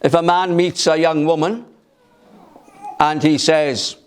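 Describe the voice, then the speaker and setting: A man preaching a sermon in a church through a microphone, speaking loudly and emphatically and asking rhetorical questions. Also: fairly high pitch, steady tone, takes it slowly. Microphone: amplified by a microphone.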